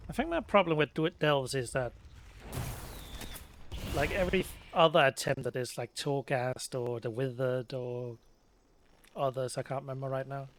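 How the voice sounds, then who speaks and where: A man giving casual, unhurried let's play commentary, musing to himself as he plays. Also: mid-range voice, slight background noise. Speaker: let's play video creator.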